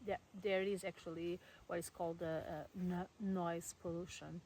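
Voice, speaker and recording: A young woman from Portugal with a Portuguese accent, talking casually to camera in her garden, with many fillers and soft laughs. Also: fairly low pitch, level tone, normal speed, a little hiss or hum, poor audio. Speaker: vlogger. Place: garden at home in the countryside, outdoors.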